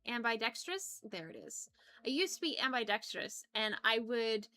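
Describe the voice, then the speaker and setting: A woman's voice: on the high side, big pitch swings, moderate pace, pushed and bright. A young woman streaming, chatting casually with her viewers in a rambling, giggly way that often trips over words. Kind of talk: casual chat with live chat.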